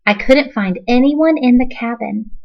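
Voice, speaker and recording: A woman reading a children's story aloud in an expressive, deliberate storytelling manner. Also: a little high, creaky, muffled recording. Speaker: storybook reader.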